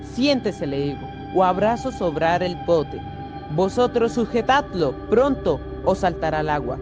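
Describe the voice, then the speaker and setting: A man's voice: high voice, sing-song, unhurried, muffled recording, music in the background. A man playing a severe, commanding ship's captain in an amateur radio drama. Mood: stern, urgent.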